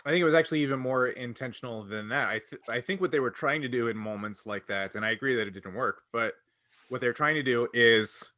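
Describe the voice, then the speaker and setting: A man's voice: medium pitch, fast talker, bright, forceful tone, no echo, studio quality. A man explaining his view assertively in a casual, analytical panel discussion as a podcast panelist.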